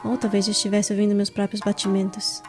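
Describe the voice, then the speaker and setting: Troubled samurai daughter voiced by a woman, Brazilian accent, medium pitch, introspective, formal drama narration, music behind. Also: completely flat delivery, quick pace, darkish tone, close to an everyday voice. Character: dutiful, troubled daughter and heiress of samurai clan. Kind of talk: first-person audio drama narration.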